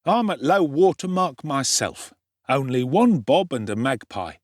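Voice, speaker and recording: A man's voice: medium pitch, animated, unhurried, dry recording, studio quality, pitched above the performer's own voice. A man voicing a cocky, streetwise teenage London boy with a Cockney accent and slangy swagger in an audiobook. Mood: breezy, swaggering. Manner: swaggering, slangy, self-assured. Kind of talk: dramatic audiobook character acting.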